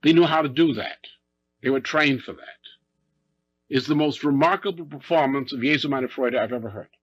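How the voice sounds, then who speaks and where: Husky man, muffled recording, speaking deliberately. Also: mid-range voice, no echo. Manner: emphatic, deliberate, with short repeated phrases. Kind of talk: unscripted speech recounting a musical performance.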